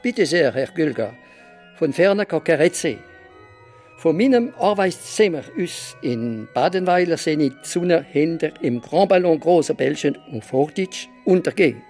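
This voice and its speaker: An actor playing a proud, opinionated Alsatian writer in a radio play interview, answering in an assertive, measured way. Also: male voice, on the high side, expressive, a little mellow, faint music behind.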